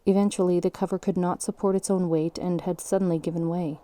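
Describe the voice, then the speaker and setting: A woman playing a factual report reader in an audio drama, narrating in a measured, formal, impersonal way. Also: lowish voice, little pitch movement, mellow, no room sound, natural voice. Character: factual female narrator reading a sinkhole report.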